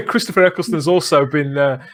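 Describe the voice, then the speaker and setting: A man's voice: on the high side, animated, moderate pace. A British man hosting a casual fan podcast, chatty and often repeating phrases as he builds a point. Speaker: podcast host.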